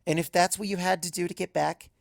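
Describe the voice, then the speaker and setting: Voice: medium pitch, fairly even intonation, fast, gravelly, no echo, studio quality, pitched above the performer's own voice, natural voice. A narrator voicing a playful, affectionate young female pegasus pony in a story, teasing and reassuring. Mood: tender, reassuring.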